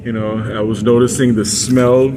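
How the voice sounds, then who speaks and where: A man's voice: mid-range voice, level tone, normal speed. Man, channel host, hesitant, many fillers, instructive, background noise. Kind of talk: casual vlog monologue.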